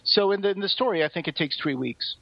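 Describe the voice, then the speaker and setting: A man with a slightly high voice talks casually as a podcast co-host. He explains things in careful detail. The sound is somewhat dull and muffled. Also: animated, fast talker, vocal fry. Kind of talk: casual discussion.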